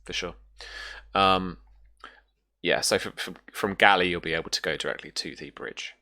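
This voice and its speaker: A woman with a very low, bright-edged voice runs a tabletop roleplaying game. She narrates informally, thinking aloud and hesitating as she sets out choices for her players. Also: moderate pace, vocal fry. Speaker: game master.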